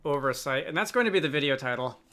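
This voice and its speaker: A man's voice: mid-range voice, lively intonation, fast, pushed and bright. A man giving casual commentary as he plays a game and reads out its dialogue. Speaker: let's player reading game dialogue aloud. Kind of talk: casual game commentary between read-out lines.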